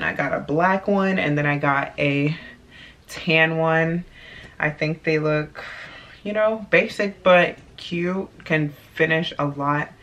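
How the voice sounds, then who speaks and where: A young woman with a deep voice chatting casually about her clothing haul in her bedroom, playful and laid-back. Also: fairly bright tone. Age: young adult. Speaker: vlogger. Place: small bedroom, indoors. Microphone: camera at desk distance.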